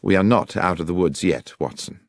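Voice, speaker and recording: A man voicing a coolly authoritative gentleman detective with formal, probing, precise speech, in an audiobook. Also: low-pitched, a little fast, creaky, no room sound, studio quality. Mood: reflective, urgent. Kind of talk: audiobook character voice.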